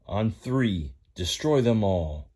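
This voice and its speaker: A man's voice: fairly low pitch, lively intonation, takes it slowly, warm tone, breathy edge, full character voice. A man voicing a menacing, commanding villain tow truck in a read-aloud story.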